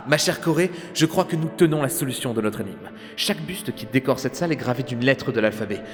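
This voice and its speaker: A man's voice: mid-range voice, expressive, a little fast, lightly acted. Man playing sardonic adventurer hero in cavernous reverb. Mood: keen, probing. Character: bold, sardonic adventurer hero in ancient Athens. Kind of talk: French audio drama acting. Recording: long reverb, loud music behind.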